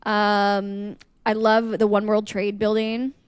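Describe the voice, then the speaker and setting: A woman's voice: mid-range voice, monotone, slow pace, clean tone, dry recording, clean studio sound. A woman co-hosting a podcast in casual comedic chat, joking in a playful, mock-earnest way. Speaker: podcast co-host.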